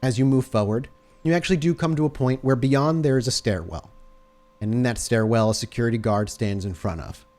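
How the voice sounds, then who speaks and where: A man's voice: mid-range voice, a little fast, a little mellow. Man narrating a tabletop game, descriptive. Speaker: game master.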